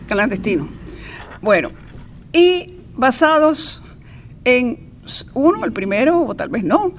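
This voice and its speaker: An older woman with a Cuban accent giving an informal, chatty introductory speech with playful asides. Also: a little high. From Cuba. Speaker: speaker introducing a lecturer. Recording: noisy live event recording.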